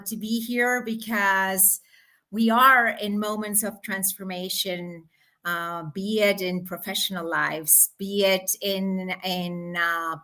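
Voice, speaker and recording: Slow, bright-voiced middle-aged woman. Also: medium pitch. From Colombia. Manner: thoughtful, pausing often with fillers. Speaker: guest consultant. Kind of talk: live-streamed interview.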